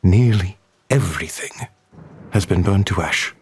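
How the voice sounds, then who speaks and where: A man narrating an audio drama in a solemn, measured, scripted storytelling manner. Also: deep voice, darkish tone, husky, faint music behind, heavily acted.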